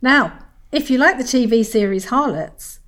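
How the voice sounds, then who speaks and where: A woman's voice: on the high side, animated, normal speed, a bit bright. A woman hosting a podcast, reading scripted trailer narration. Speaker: podcast host.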